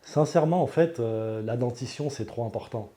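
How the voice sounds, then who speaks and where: A man's voice: medium pitch, moderate pace, dark tone. A man, giving an unscripted testimonial as a patient in an earnest, emphatic way. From Lyon, France. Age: 40s.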